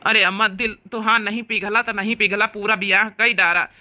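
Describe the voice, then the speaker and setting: A man's voice: high voice, fairly even intonation, brisk, sharp tone. A man voicing an earnest, emphatic young village brother with an Awadhi accent in a cartoon comedy. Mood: reproachful. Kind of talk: cartoon comedy drama dialogue.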